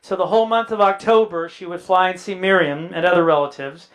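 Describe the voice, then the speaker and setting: High-voiced man in his sixties telling family stories. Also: steady tone, a little fast, rough recording. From Indiana, US Midwest. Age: 60s. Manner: anecdotal, quotes family members in dialogue, dry humor. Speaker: guest speaker. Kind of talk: personal storytelling talk.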